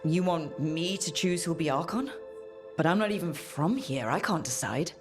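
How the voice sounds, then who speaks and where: An actor as an earnest fantasy adventurer, medium pitch. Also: lively intonation, moderate pace, over music, close to an everyday voice. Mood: hesitant. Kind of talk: video game dialogue acting.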